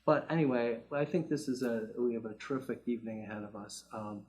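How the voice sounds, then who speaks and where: A man's voice: mid-range voice, a little mellow. Male moderator from the Chicago area, mid-range voice, hesitant and repeating himself. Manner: halting, many restarts. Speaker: class moderator. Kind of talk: hosting a class conversation.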